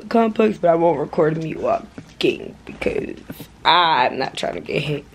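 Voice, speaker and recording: A young woman chatting straight to her phone camera in her apartment, talking to viewers as y'all. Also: on the low side. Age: young adult. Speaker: vlogger. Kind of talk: casual vlog talk to camera. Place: apartment indoors. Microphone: phone camera held close. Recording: noisy phone recording.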